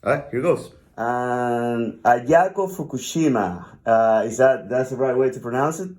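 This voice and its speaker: A man's voice: mid-range voice, unhurried, a little mellow. A man from Venezuela, hosting a podcast in a chatty, enthusiastic, rambling way. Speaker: podcast host. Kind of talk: casual chat.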